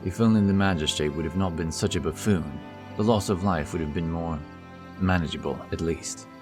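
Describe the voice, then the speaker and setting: A man with a deep, low voice reads a scripted fantasy tale as its narrator. Soft music plays underneath him throughout. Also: brisk. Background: background music.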